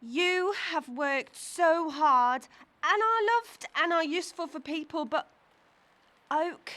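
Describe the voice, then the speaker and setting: A woman playing a polite, caring young girl adventurer in a family audio drama, thoughtful and gentle. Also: high-pitched, takes it slowly, full character voice.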